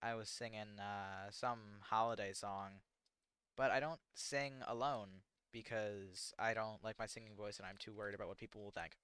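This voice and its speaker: Teen American male streamer, bright crisp voice, halting and self-deprecating, dry close mic. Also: fairly low pitch, fairly even intonation, dry recording. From United States. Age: teens. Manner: halting and rambling, self-deprecating, many fillers. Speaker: livestreamer. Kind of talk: casual livestream chat answering chat questions.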